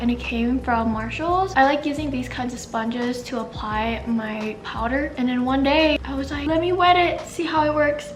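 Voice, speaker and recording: A woman's voice: sits high, reverberant. A young woman in her twenties filming a casual makeup tutorial at home, chatty and upbeat, slightly stuffy and congested. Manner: chatty, upbeat, says "like" and "freaking" a lot. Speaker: beauty vlogger. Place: bright living room at home.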